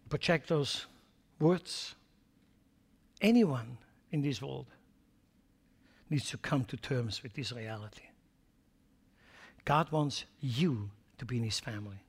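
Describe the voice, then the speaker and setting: A man with a Swiss accent preaching a sermon as a pastor, then leading a prayer. He swings between loud, emphatic exhortation and hushed prayer. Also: fairly high pitch. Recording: long hall reverb with faint music.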